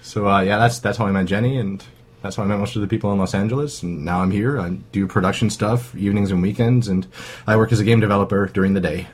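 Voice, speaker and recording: A man's voice: lowish voice, fairly even intonation, fast talker, breathy edge. A man in his thirties from Rhode Island, a guest chatting in a wry, self-deprecating way. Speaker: guest, game developer. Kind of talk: casual interview chat.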